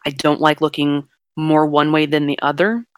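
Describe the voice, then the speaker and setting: A young non-binary podcast host with a fairly deep voice, talking frankly and at length in a casual chat. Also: flat delivery, moderate pace, smooth, no room sound, clean studio sound. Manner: earnest, frank, explains at length.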